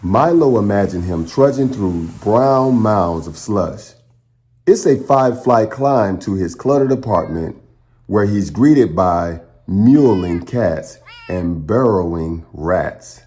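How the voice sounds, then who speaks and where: Animated, slow-paced man reading to children. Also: on the low side. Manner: encouraging, expressive, addresses children directly. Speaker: children's storytime host. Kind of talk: children's read-aloud and upbeat chat to young viewers.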